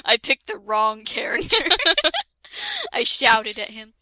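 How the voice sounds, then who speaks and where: Woman reading a parody story aloud: bright, slow, giggly, dry close sound. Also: a little high, wildly swooping pitch, no echo. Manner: giggly, keeps breaking into laughter and sarcastic asides. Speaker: reader of a parody fan fiction. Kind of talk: comedic dramatic reading with a friend.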